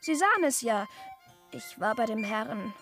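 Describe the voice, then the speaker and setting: Pert young noblewoman, high voice, artless, coquettish, radio play, faint music behind. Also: very animated, a little slow, fairly bright tone, heavily acted. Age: young adult. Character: pert, naive young baron's daughter, bold and coquettish. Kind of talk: theatrical German radio play acting of classic comedy.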